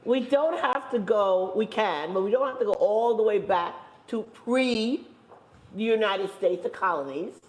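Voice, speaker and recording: A woman speaking on a panel, making her points with emphatic repetition and deliberate slow stresses. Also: mid-range voice. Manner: emphatic, repeats phrases, stresses points slowly. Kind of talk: impassioned panel talk. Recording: echoey room.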